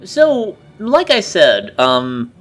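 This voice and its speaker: Animated guy riffing on a game, sharp bright voice, jokey and sweary. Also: high-pitched, very slow. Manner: joking, profane. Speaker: playthrough co-commentator. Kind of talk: casual game commentary chat.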